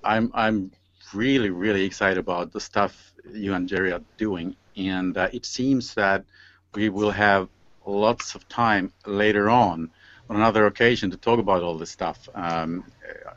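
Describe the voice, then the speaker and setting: A man's voice: lowish voice, steady tone, a little slow, fairly bright tone, no room sound, muffled recording. A man hosting an informal online panel over a video call, speaking in a hesitant, stop-and-start way. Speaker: host of an online panel show.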